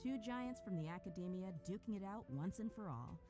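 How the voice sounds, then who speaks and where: A woman's voice: medium pitch, lively intonation, a little fast, lightly acted. An actress playing a brash, tough female mercenary in a video game, speaking in a mocking, confrontational way. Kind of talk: video game cutscene voice acting. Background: game music.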